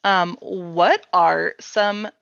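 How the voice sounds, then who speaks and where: A woman's voice: lowish voice, steady tone, extremely slow, gravelly, a bit bright, clear, resonant tone, no room sound, lo-fi sound. A woman hosting a casual workshop chat about tabletop gaming, talking informally and thinking aloud as she goes. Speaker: workshop host taking chat questions.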